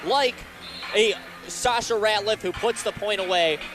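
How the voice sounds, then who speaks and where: A man's voice: sits high, a little slow, music in the background. A young man calling live volleyball play-by-play as a radio announcer.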